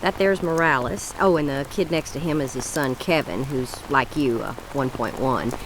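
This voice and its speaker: A woman's voice: extremely low pitch, moderate pace, background noise. An actress playing a folksy, motherly, plain-spoken older woman in a radio drama. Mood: consoling, chatty. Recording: steady rain behind the voice.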